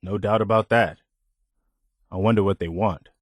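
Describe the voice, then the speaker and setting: A principled young American militiaman, acted by a man serves as a companion in a post-apocalyptic game. His voice sits on the low side and is measured and sincere, with plain-spoken phrasing. The recording is very dry, with no room around it. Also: steady tone, no room sound, close to an everyday voice. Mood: conversational. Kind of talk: video game companion dialogue.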